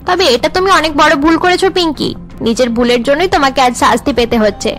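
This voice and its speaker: A woman's voice: high-pitched, fast talker, fairly bright tone, faint music behind. A woman voicing a blunt older auntie in a cartoon, chiding and moralizing with emphatic delivery. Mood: taunting, scolding. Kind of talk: melodramatic cartoon dialogue.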